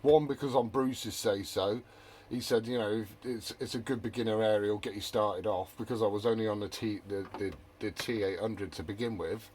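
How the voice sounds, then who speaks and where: A man's voice: lowish voice, steady tone, fairly quick, a little mellow, slight background noise. A man chatting casually as a hobby radio operator, rambling and often correcting himself mid-thought.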